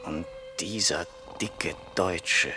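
An actor playing a poor, brooding young surgeon in a German radio play, speaking in a hushed, halting way as he muses to himself. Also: low voice, over loud music. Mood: brooding, bitter.

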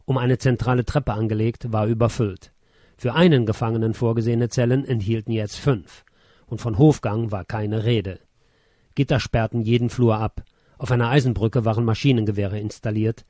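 A man narrates a first-person memoir in a measured, sober manner, pitched squarely in the middle of the male range. He reads close to the microphone, and the recording is dry, with no room sound at all. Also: mellow, no echo.

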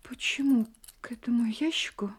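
A woman's voice: on the high side, moderate pace, breathy edge. A woman acting an anxious, guilt-ridden young wife in a radio play, often talking to herself in agitated whispers. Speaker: heroine and narrator of a radio play.

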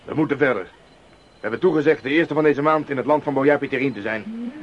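A man's voice: mid-range voice, lively intonation, fairly quick, close to an everyday voice. A man playing a bold, commanding elder brother leading riders in a radio play, confident and hearty. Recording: noisy, muffled old radio play recording.

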